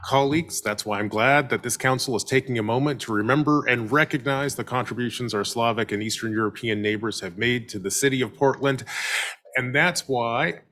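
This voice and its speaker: A man chairing a city council meeting, giving formal, measured remarks and introducing a speaker. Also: mid-range voice, a bit bright. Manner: measured, official.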